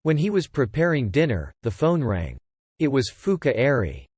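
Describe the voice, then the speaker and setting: Animated male audiobook narrator. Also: medium pitch, normal speed, husky, no echo.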